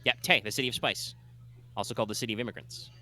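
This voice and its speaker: A man's voice: fairly low pitch, racing, pushed and bright. A man running a tabletop game as game master, explaining the world's lore with many fillers and restarts.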